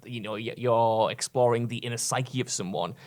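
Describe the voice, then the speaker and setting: A man's voice: mid-range voice, steady tone, normal speed. Man thinking through an argument aloud. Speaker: podcast panelist. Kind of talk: casual analytical discussion.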